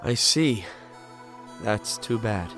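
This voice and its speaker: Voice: medium pitch, expressive, very slow, fairly bright tone, faint music behind. An actor playing a bewildered, polite amnesiac spirit with dry wit in a video game fan dub, hesitant and given to exaggerated surprise. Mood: earnest. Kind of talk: dramatic fan dub with inner monologue.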